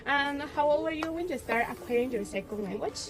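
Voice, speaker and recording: A woman interviewer asking short questions with quick acknowledgments. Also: sits high, lively intonation. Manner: quick acknowledgments, laughing easily. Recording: music playing behind.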